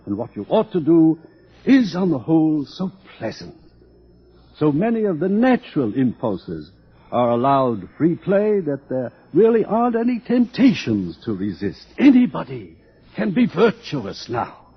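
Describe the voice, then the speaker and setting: A man's voice: fairly high pitch, wildly swooping pitch, measured pace, husky, full character voice. A man playing an urbane, smug older world controller in a theatrical radio drama, pompous and patronizing as he lectures. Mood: smooth, patronizing. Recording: dull, lo-fi radio drama recording.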